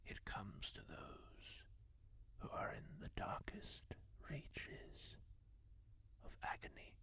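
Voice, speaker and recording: A man voicing a kindly masked healer in a fantasy roleplay, telling a grim story in a soft, husky whisper. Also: high-pitched, huge pitch swings, exaggerated voice. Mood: hushed solemn. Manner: slow, hushed, solemn storytelling to the listener. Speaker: ASMR roleplay performer.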